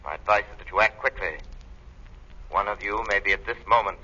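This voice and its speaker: A mysterious avenger played by a man speaks low with a very sharp, forceful edge. He is grave, formal and commanding, like someone issuing ominous warnings in an old radio play. The recording is somewhat dull. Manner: authoritative, formal, deliberate warnings. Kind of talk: old-time radio drama acting.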